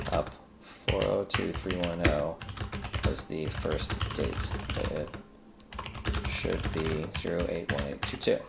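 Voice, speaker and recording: Male screencast host, low gravelly register, informal think-aloud delivery with self-directed muttering, light background noise. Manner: mutters to self while working. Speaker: screencast host working through a coding task. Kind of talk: casual think-aloud coding tutorial.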